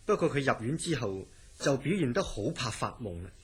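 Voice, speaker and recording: Animated, authoritative hospital director. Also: male voice, medium pitch, a little slow, breathy edge. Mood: musing, guarded. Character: composed male psychiatric hospital director, guarded and probing. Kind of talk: Cantonese horror radio drama acting.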